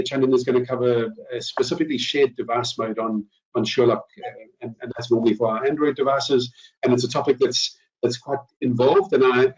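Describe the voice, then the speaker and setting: A man hosting an informal product update webinar, speaking haltingly with repeated words and rephrasing, over an online call. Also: medium pitch, a little mellow. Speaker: host presenter. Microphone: online meeting call. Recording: muffled lo-fi online call, distant mic.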